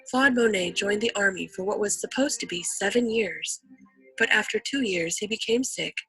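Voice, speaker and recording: A woman's voice: medium pitch, fairly bright tone, husky, no echo, rough recording. A woman reading a scripted educational narration as the narrator of an instructional video.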